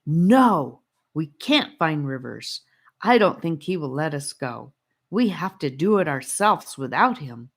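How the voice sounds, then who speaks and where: A woman's voice: sits low, sing-song, slow, husky, full character voice. A woman voicing an eager, impatient young boy in a storybook, speaking urgently and insistently.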